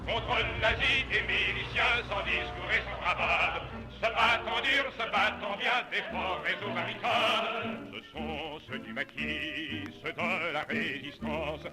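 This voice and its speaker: A man delivers song verses slowly, in a fairly high, soft-toned voice. Loud music plays with him. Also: low-quality recording.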